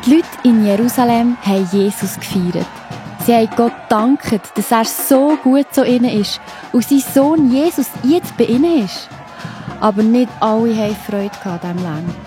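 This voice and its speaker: A woman with a Swiss German accent, gently narrating and explaining a Bible story to children. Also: a little high, normal speed, music in the background.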